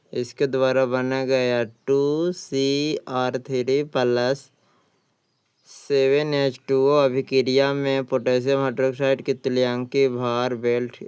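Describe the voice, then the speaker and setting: Slow, clear male exam tutor. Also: medium pitch, darkish tone, no room sound. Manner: deliberate, repeats answers. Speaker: exam coaching teacher. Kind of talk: reading out practice questions and answers.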